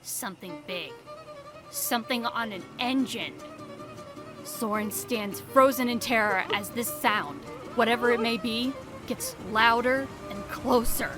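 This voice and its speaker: A woman's voice: a little high, unhurried, natural voice. A woman narrating a spooky fantasy audio drama as a dramatic, scripted storyteller. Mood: suspenseful. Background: music behind the voice.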